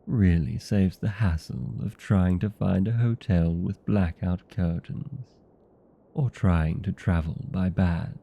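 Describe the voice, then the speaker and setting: A man playing a vain, playful, chatty old vampire in a comedic audio roleplay, with a gravelly, husky voice and theatrical, courteous manner. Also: sits low, fairly even intonation, slow, highs cut off, heavily acted. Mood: chatty, hospitable.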